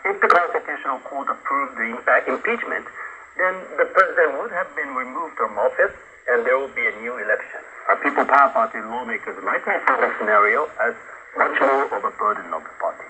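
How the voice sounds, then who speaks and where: Male correspondent giving analysis, very forceful bright tone, faint shortwave static. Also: medium pitch, expressive, brisk, low-quality recording. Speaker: senior international news correspondent. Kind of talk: broadcast news analysis. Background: faint radio static.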